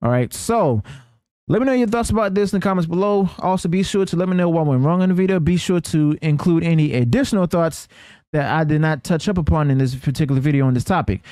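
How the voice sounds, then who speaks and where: Higher-pitched man giving halting news commentary. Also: dark tone, dry recording, studio quality. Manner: halting delivery with stumbles and self-corrections. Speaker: host of a news commentary channel. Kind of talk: news commentary, partly reading from notes.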